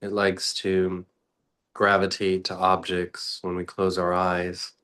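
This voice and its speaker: A man speaking as a meditation teacher, guiding reflectively and unscripted in short, contemplative phrases with long pauses. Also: sits low, monotone, fairly bright tone, smooth.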